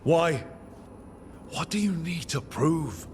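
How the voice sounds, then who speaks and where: Steady male game hero in reverb. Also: on the high side, slow pace, a little hiss or hum. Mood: concerned, firm. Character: steady, supportive male team leader, wry. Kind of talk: video game dialogue. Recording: long reverb, faint music.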